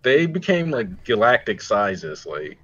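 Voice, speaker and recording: A man's voice: on the low side, very animated, unhurried, a bit bright, no echo. A man taking part in a casual anime chat over a dull, lo-fi online voice connection. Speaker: participant in stream discussion.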